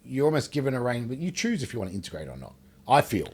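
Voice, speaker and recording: Man, husky, lively podcast host. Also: medium pitch, quick pace. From Wales, later Australia. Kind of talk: casual unscripted podcast discussion.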